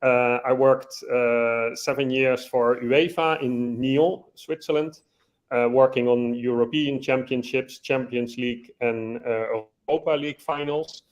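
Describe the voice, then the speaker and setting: A man's voice: mid-range voice, steady tone, unhurried. A man from the Netherlands with a slight Dutch accent, introducing himself as a guest on a video call from his laptop, with some fillers.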